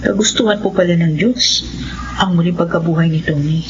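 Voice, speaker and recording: A woman's voice: lowish voice, fairly quick, lightly acted. A woman acting as a searching, deferential Filipino parishioner, speaking politely in a radio drama. The sound is dull, with faint noise behind her. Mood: respectful, searching. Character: respectful, searching churchgoing woman seeking answers.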